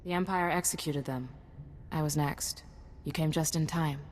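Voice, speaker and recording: A woman's voice: deep voice, steady tone, normal speed, slight background noise, lightly acted. A woman playing a weary, guarded resistance spy in a video game cutscene. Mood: somber. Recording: loud game music behind the voice.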